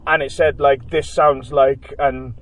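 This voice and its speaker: Rambling young Welsh musician. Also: male voice, medium pitch, a little slow, no echo. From Wales. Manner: rambling, many "like" fillers, animated storytelling. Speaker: band member, interviewee. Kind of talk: casual interview chat.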